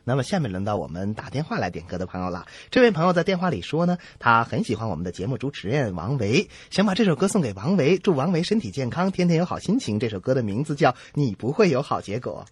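A man co-hosting a comedy radio show, delivering scripted banter with animated mock exasperation. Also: medium pitch, quick pace, few pauses, slightly rough, dry recording. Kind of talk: scripted comic banter between radio hosts.

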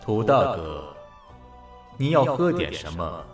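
A man's voice: deep voice, sing-song, slow, heavily acted. A man playing a mysterious, serene, hospitable bartender to the gods in an audio drama, with a leisurely drawl. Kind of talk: Mandarin audio drama acting. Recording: echoey, distant voice over music.

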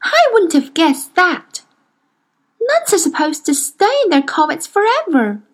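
A woman's voice: very high voice, sing-song, unhurried, pitched above the performer's own voice, exaggerated voice. A woman reading aloud, voicing an eager, desperate young girl who pleads earnestly. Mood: amazed.

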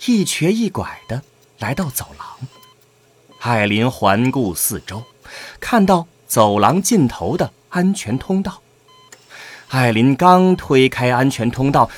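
A man's voice: medium pitch, big pitch swings, a little slow, husky. A man narrating an audio drama from a script in a dramatic storytelling style.

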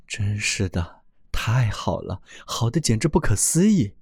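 A man voicing an ancient male oak tree in a storybook tale, speaking in a lowered, slow and wondering voice. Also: big pitch swings, darkish tone, husky, no room sound, clean studio sound, exaggerated voice. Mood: overjoyed.

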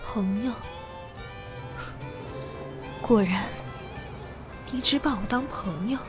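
A woman's voice: fairly high pitch, measured pace, over music, lightly acted. A woman acting a domineering, scheming young woman in an amateur audio drama, theatrical and teasing. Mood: fake tearful.

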